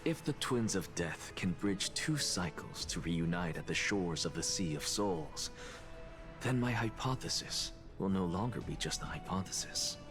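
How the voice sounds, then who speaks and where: An actor playing a proud, aloof male scholar in a video game, with measured, erudite and dismissive delivery. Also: low-pitched, animated, music in the background. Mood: measured, explanatory. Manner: haughty, measured, erudite diction. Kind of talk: scripted video game voice acting.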